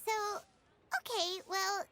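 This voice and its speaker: A tiny, naive fairy companion girl in a video game. The voice is extremely high, squeaky and bright, full of childlike curiosity, with music underneath. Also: steady tone, slow, full character voice. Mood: puzzled, curious. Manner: questioning, refers to self in third person. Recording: studio voice with game music behind.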